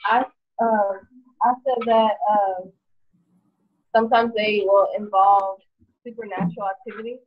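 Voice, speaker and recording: A teenage girl answering as a student in a casual, tentative and halting way. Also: medium pitch, fairly even intonation. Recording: muffled virtual class call.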